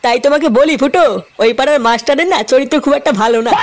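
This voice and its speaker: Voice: sits high, rapid-fire, close to an everyday voice. A performer voicing a cheeky, gossipy cartoon villager with a stammering, chattery delivery and a Bengali accent. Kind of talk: Bengali comedy cartoon dubbing.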